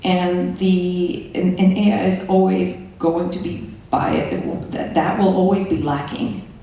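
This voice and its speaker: A woman's voice: on the low side, little pitch movement, slow pace, gravelly, mellow. A woman whose first language is Slovenian, speaking on a conference panel in a thoughtful way with hesitant restarts, recorded from far off in an echoey hall.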